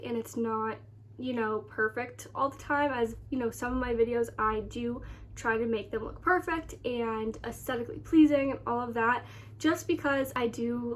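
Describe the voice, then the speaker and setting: An American woman in her early twenties chatting casually to viewers as a vlogger, rambling along with a slightly congested, nasal voice. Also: a little high. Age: early 20s. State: congested with sore throat. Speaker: lifestyle vlogger.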